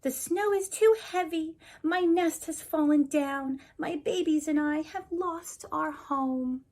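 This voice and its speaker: A woman's voice: high voice, slow pace, darkish tone, voice pushed up. A woman voicing a worried mother bird from a children's storybook in a plaintive manner.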